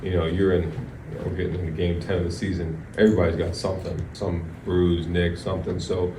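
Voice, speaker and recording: A man's voice: low-pitched, level tone, a little fast. A man, a football coach, answering press questions in a casual, rambling way, with many restarts and fillers. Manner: casual, rambling, full of "you know" and restarts. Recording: distant, echoey, noisy press room.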